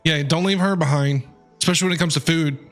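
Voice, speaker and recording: High-pitched, enthusiastic male game streamer. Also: fast, soft background music. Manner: enthusiastic, repeats phrases. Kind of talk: casual live reaction commentary.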